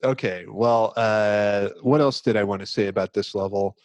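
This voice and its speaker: A man's voice: on the low side, steady tone, moderate pace, dry recording. A man hosting a casual video game discussion, explaining things in a loose, rambling, hesitant way. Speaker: podcast host.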